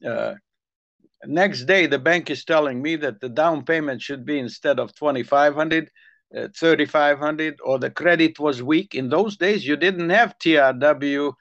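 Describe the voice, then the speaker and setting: A man's voice: on the high side, measured pace, fairly bright tone, highs cut off. An older man with a Hungarian accent, telling long rambling stories in a casual chat. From Ukrainian Soviet Republic. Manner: rambling storyteller, repeats words when restarting.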